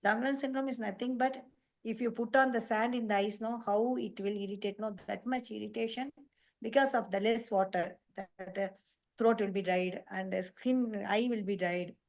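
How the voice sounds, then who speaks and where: A woman hosting a nutrition program on a video call, talking in a halting, repetitive way with emphatic lists. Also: medium pitch, steady tone. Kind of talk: casual online meeting talk.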